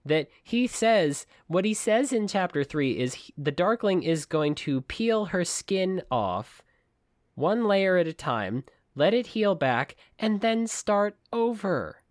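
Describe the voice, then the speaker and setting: Podcast co-host just chatting about a book, medium pitch, really dry sound. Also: big pitch swings, slow, no echo. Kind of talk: casual book discussion chat.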